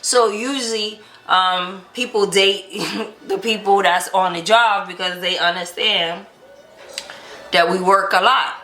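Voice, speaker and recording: Casual female vlogger, American, unhurried and bright, animated retelling of conversations. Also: mid-range voice. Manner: animated retelling with quoted dialogue. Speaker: vlog host telling a couple's story. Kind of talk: casual storytelling chat. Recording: roomy echo, light background noise.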